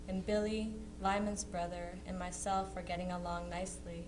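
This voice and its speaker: Woman acting young 1860s sister, contented, medium-pitched, letter reading, stage dramatization, noticeable hum and noise. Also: natural voice. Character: contented young 1860s woman writing cheerfully to her brother. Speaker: amateur reader in a historical society dramatization. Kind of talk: reading period letters aloud.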